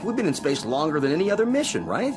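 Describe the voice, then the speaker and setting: A whiny, wisecracking spaceship navigator, vain and hungry for recognition. His pitch swings dramatically in this radio drama, over loud music. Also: wildly swooping pitch, fast talker. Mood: griping. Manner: whiny, wisecracking, swooping emphasis.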